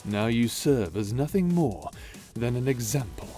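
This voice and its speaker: A man plays a cold, taunting space pirate villain in an audio roleplay. He draws his words out slowly and menacingly, sliding around in pitch with mocking relish. Music sits faintly behind him. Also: big pitch swings, mellow. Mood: cold, gloating. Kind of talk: ASMR audio roleplay drama.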